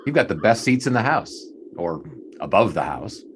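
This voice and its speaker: An actor playing a 1920s sports writer who narrates in a dry, wry, formal manner. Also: male voice, on the low side, very animated, normal speed, a little hiss or hum. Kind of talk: audio drama narration and acting.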